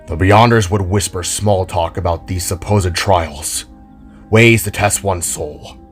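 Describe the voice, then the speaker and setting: A man's voice: deep voice, measured pace, faint music behind. A man voicing a dour, weary older father in a fantasy story, grave and muttering. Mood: dour, brooding.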